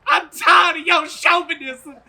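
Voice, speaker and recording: A man's voice: very high voice, steady tone, unhurried, sharp tone, recorded from a distance, pitched above the performer's own voice, exaggerated voice. A man voicing a dramatic, indignant farmer's wife in a comedic stage radio play. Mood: fed up. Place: outdoors, parking lot stage.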